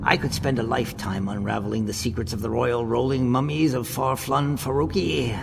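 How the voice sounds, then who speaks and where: An actor playing a pompous, roguish tomb raider with wry, theatrical delivery in a comic radio play. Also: male voice, mid-range voice, steady tone, moderate pace, vocal fry, background noise, lightly acted. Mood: grandiose, wistful. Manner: grandiloquent, alliterative, theatrical. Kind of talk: comic science-fiction radio play acting. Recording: long reverb, music behind.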